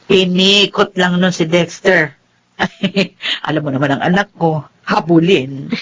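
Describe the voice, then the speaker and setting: A woman's voice: on the low side, steady tone, slow, fairly bright tone, slight background noise. A woman playing a scheming, meddling middle-aged mother-in-law in an audio melodrama, with a Filipino accent and a taunting, emphatic delivery. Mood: gossipy, coaxing. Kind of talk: melodramatic radio-style drama acting.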